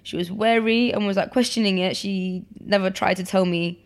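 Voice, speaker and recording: A woman's voice: medium pitch, fairly even intonation, moderate pace, smooth. A woman, chatting casually as an interview guest with many fillers and restarts. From born in Poland, raised in London. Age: 20s. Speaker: guest, photographer.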